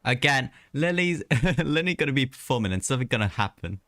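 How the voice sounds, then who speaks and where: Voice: mid-range voice, animated, a little fast, a bit bright, no room sound, clean studio sound. A game streamer giving casual, reactive live commentary in short, chatty interjections. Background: game music.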